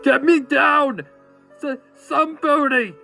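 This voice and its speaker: A man's voice: very high-pitched, slow, gravelly, pushed and bright, soft background music, voice pushed up, heavily acted. A man voicing a frightened, desperate pony stallion in a horror story reading, stammering and crying out. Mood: desperate, screaming. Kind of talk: horror fan-fiction dramatic reading.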